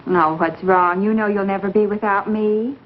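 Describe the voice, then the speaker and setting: An actress playing an affectionate, puzzled young fiancée in 1950s comedy drama style. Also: female voice, mid-range voice, steady tone, normal speed, lightly acted. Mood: concerned. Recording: very noisy muffled 1950s broadcast, audience noise.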